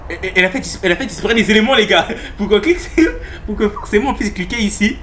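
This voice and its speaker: A young man giving casual, excitable reaction commentary on a horror game, halting and often repeating his words. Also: high-pitched, a little hiss or hum. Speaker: gaming video host.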